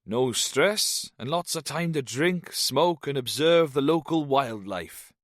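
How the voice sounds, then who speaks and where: A man voicing a jovial, leering fifty-something Welsh teacher, with a lilting, slow Welsh accent. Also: medium pitch, expressive, fairly bright tone, no echo, studio quality, voice pushed up.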